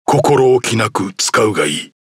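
A man's voice: fairly low pitch, animated, fast, fairly bright tone, husky, no room sound, clean studio sound, heavily acted. A man voicing a proud old male general and mentor in a video game, bold and commanding. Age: older. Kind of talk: game voice clips.

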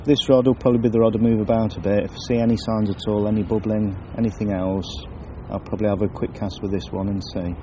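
A man calmly talking through his fishing setup outdoors on a lake bank, sometimes dropping to a hush near the water. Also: on the low side, fast, few pauses, slight background noise. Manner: explains calmly, drops to a near-whisper by the water. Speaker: angler presenting a fishing video. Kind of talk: casual on-the-bank fishing commentary.

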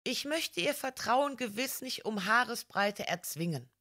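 Audiobook role: quiet priest sleuth, played by a female reader, calm, soft-spoken, gentle, slow delivery. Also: a little high, dry recording, studio quality, voice pushed up. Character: quiet, gentle, perceptive male priest detective. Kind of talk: audiobook character reading.

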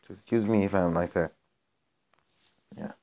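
A young man hosting a mobile game channel, his voice a bit raspy from being sick, rambling and cutting himself off as he comments on his matches. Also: sits low, moderate pace, mellow. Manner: rambling, self-interrupting, gets exasperated during play. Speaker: gaming channel host. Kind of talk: casual gameplay commentary.

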